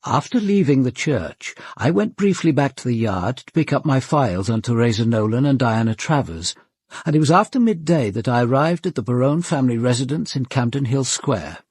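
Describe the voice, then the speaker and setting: An actor playing a reserved, observant English police commander, narrating his case in a measured, precise way. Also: mid-range voice, very animated, a little mellow, husky, no room sound. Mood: reflective, grave. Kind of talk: first-person dramatic narration.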